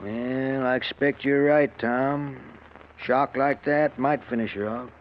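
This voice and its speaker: An actor playing a kindly, practical country doctor with an American accent and a matter-of-fact folksy manner. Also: male voice, mid-range voice, steady tone, takes it slowly, close to an everyday voice. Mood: concerned. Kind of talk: old-time radio drama acting. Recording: muffled old radio recording with hiss.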